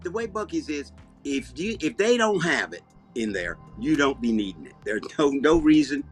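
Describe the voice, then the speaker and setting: An older man with a Southern US accent and a husky voice, chatting in a folksy, rambling storytelling way with down-home grammar. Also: fairly high pitch, very animated, normal speed, faint music behind. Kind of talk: casual bantering chat.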